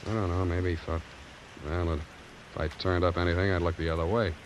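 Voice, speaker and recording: An American actor playing a gruff, wry private detective in a 1940s radio drama, with clipped sardonic delivery. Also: male voice, low-pitched, flat delivery, brisk, natural voice. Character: wisecracking hard-boiled private detective, gruff but fond of secretary. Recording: hissy vintage 1940s radio recording.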